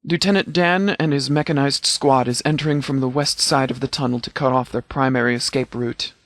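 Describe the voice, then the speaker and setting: An actor playing a stern, composed sheriff of a post-apocalyptic militia in a video game, speaking in a measured, formal, authoritative way with clipped orders. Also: male voice, medium pitch, fairly even intonation, fairly bright tone, no room sound, clean studio sound, natural voice. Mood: commanding, grave. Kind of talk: scripted video game dialogue.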